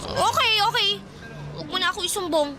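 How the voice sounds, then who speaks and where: A woman's voice: extremely high pitch, expressive, quick pace. A woman playing a shy, old-fashioned fifteen-year-old provincial girl in a radio drama, grumbling and guarded. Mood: wary, curt. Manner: grumbling, muttering to herself. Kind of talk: Tagalog radio drama acting. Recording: background music, busy outdoor crowd and traffic sounds.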